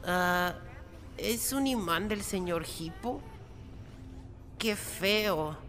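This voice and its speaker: A woman's voice: medium pitch, expressive, drawn-out words, fairly bright tone. A woman voicing a plucky, anxious young boy with a blunt, grumbling manner in a fan-dubbed game animation. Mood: disappointed. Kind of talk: fan dub acting for animated game series.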